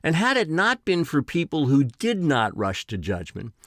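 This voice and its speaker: Animated man in his sixties reading an essay. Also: on the high side, no room sound, clean studio sound. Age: 60s. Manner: deliberate, emphatic, formal phrasing. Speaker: essayist reading own essay, addiction counselor. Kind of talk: scripted personal essay reading.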